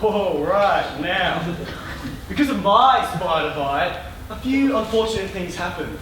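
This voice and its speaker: Man playing a weary superhero, high and echoey. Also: lively intonation, unhurried, a bit bright. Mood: exasperated. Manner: hesitant, exasperated, awkward. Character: weary, put-upon male superhero at an autograph signing. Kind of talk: amateur stage play acting. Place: indoor stage in a large room. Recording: noisy echoey hall, recorded from far off.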